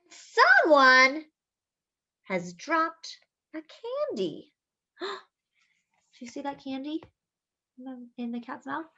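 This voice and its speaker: Slow, sing-song woman reading to children. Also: high voice, dull audio. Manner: expressive, sing-song, drawn-out phrasing aimed at small children. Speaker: children's story-time host reading a picture book aloud. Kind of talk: read-aloud storytelling for young children, with asides and questions.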